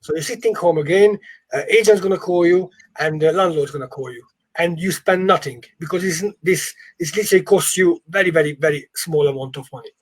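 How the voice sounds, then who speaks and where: A man's voice: a little high, a little fast, slightly rough. A man who speaks English as a second language, coaching informally in an emphatic, encouraging way and often repeating phrases. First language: not English. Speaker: property investment coach. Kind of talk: informal live training session.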